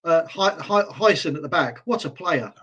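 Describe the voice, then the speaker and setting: Male football panellist, high voice with lively swings, exasperated and sweary in casual fan talk. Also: moderate pace, husky. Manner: animated, exasperated banter, sweary.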